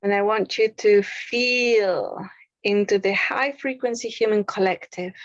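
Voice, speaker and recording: A woman leading a guided meditation in a soothing, unhurried way, with pauses between short phrases. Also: medium pitch, no room sound, lo-fi sound.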